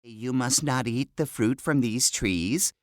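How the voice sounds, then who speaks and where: A crafty storybook serpent, played by a narrator, tempting and persuasive. The words come very slowly, stretched out with sly intent. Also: medium pitch, dry recording, clean studio sound, close to an everyday voice. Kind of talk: storybook character voice.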